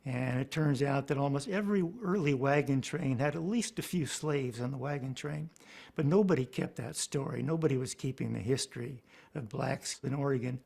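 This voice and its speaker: Gravelly man in documentary interview. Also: mid-range voice, moderate pace, darkish tone. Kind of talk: interview commentary.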